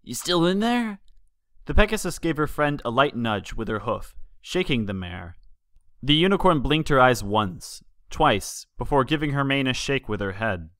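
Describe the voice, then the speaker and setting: A man narrates written fan fiction as a story reader. His pitch sits in the middle of the male range. The recording is dry, with almost no room sound. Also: lively intonation, moderate pace, a bit bright, no room sound.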